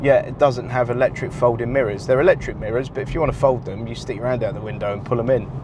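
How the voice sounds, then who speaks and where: Croaky British man reviewing his car. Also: medium pitch, steady tone, fast. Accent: British English. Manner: chatty, wry, grumbling about small gripes. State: croaky and nasal from a cold. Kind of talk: casual car review vlog. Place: driving a car on suburban roads. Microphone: clip-on lapel mic. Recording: loud car noise in background.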